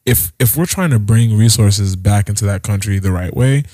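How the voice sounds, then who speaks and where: Low-voiced man teasing his co-host. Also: moderate pace, dark tone, dry recording, clean studio sound. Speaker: podcast co-host. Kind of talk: casual chat.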